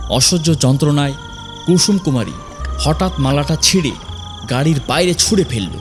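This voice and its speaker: A man narrating a scripted horror story in a suspenseful, dramatic way with deliberate pauses. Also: medium pitch. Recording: music behind the voice.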